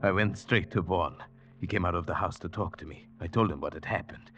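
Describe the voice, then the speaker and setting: An actor playing a tense, haunted man who narrates a suspense story in measured, dramatic old-time radio style. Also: low-pitched, fairly bright tone. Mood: hushed suspense. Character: tense, haunted man recounting a night hunt. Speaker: narrator and lead character. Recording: muffled vintage radio, music behind.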